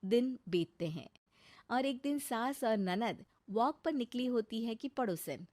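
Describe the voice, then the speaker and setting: A woman narrator tells a scripted cartoon tale. Her voice is on the high side and recorded dry and close. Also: lively intonation, unhurried, darkish tone, dry recording. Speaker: story narrator.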